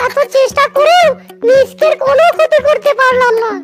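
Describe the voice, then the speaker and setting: A tiny mischievous animal sidekick in a cartoon. The voice is extremely squeaky and processed, whining dramatically, with music clearly behind. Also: male voice, steady tone, a little fast. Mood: dejected, scheming. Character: small male animal sidekick, mischievous complaining schemer. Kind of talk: exaggerated cartoon dialogue.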